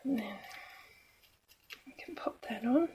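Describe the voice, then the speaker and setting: A middle-aged woman from Australia, slightly croaky today, chatting casually and self-deprecatingly while she demonstrates card-making. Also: a little high, expressive, slow pace, noisy background. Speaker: craft demonstrator.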